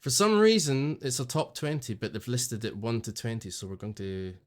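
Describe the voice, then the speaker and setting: A man's voice: medium pitch, lively intonation, normal speed. Chatty man hosting a podcast. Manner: chatty, joking, self-deprecating. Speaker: podcast host. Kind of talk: casual chat.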